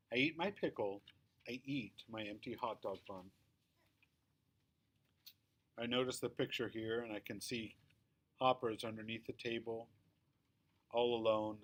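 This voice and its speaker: A man narrates a children's chapter book in a middle-pitched voice. He reads the scripted first-person story with expression, leaning on key words as a storyteller does. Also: a bit bright. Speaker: read-aloud storyteller.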